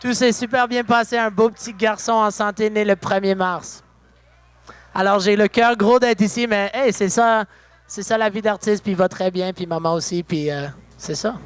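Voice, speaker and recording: A young man from Saskatchewan, a musician, chatting backstage in a relaxed way with many fillers. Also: sits high, moderate pace, smooth, soft background music. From Saskatchewan, Canada. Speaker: musician guest. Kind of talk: casual interview. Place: backstage at a concert venue.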